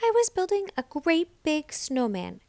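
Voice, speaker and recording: A woman's voice: high-pitched, very animated, unhurried, a little mellow, pitched above the performer's own voice, full character voice. A woman voicing a small, shy but determined little girl with a light, childlike voice in a storybook. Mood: eager.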